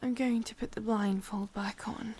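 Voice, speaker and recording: A woman voicing a sadistic, possessive witch lover in a breathy, husky whisper with an English accent, intimate and teasing, for an ASMR roleplay. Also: a little high, fairly even intonation, moderate pace, warm tone, slight background noise, close to an everyday voice. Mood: soothing, possessive.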